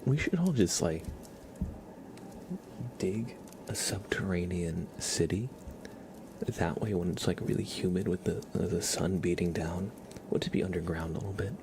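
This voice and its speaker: A man hosting an ASMR livestream, speaking softly and casually to viewers in a gentle, rambling, hesitant way. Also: unhurried, pauses often, background noise. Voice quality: soft, breathy whispering with creaky low undertone. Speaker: livestream host.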